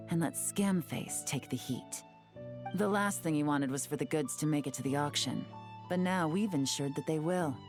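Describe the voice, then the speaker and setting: An actress plays a shrewd female intelligence agent in a video game. The voice is low and composed, confident and calculating in how it lays things out, with faint music underneath. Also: darkish tone, close to an everyday voice. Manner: composed, assured, measured explaining. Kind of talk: game character dialogue.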